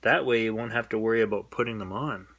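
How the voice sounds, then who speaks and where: Guy reading as helpful schoolkid buddy in a storybook, matter of fact, bright voice. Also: lowish voice, fast talker. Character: calm, helpful schoolboy friend Buster from a picture book.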